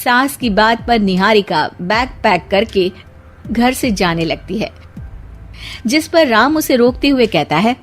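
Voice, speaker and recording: Expressive woman narrator with lively intonation. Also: fairly high pitch, measured pace, faint music behind. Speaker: story narrator. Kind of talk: scripted narration of a cartoon family story.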